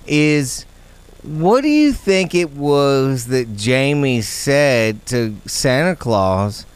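Podcast host guy, smooth clear voice, swears a lot and jokes around loud. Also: medium pitch, lively intonation, extremely slow. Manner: loud, profane, joking. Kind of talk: casual podcast chat.

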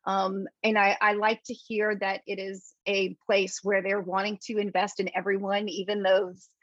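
A woman's voice: mid-range voice, little pitch movement, normal speed, no room sound. Affirming female host on a dull dry line. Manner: warm, affirming, thoughtful. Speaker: interview host. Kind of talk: casual interview.

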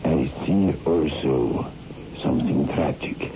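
An actor playing an ominous, portentous occult palm reader pronouncing doom in a 1960s radio horror drama. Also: male voice, a bass voice, warm tone. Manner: slow, portentous. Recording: muffled, noisy old radio recording.

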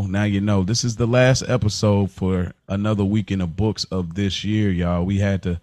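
A man's voice: fairly low pitch, dry recording, clean studio sound. A man co-hosting a podcast in casual, slangy chat, repeating words emphatically to make his point. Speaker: podcast co-host. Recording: music playing behind the voice. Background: background music.

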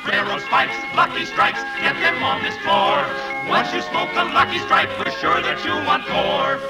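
Elevator guy doing sing-song rhymes, really high voice, music all through, old radio. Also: expressive, normal speed, low-quality recording. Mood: cheery sing-song. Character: singing elevator operator chanting rhymed store directory. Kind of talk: 1940s radio singing commercial.

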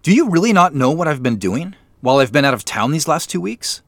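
A man's voice: a little high, very animated, fairly quick, no room sound. A man playing a casual, probing detective in a naturalistic audio drama, dry and inquisitive. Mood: questioning. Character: dry, inquisitive male detective working a case.

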